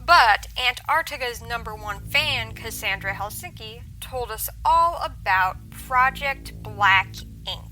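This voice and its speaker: A scornful adventurer in an audio drama. Her voice is bright and forceful, delivered slowly and drily over faint music. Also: a little high, expressive, full character voice, low-quality recording. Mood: sardonic. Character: scornful, sardonic female adventurer.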